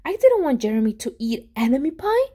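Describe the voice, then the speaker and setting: A woman reading a storybook aloud to children in an expressive, animated way, pausing to put questions to them. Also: sits high. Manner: animated, with dramatic gasps and emphasis.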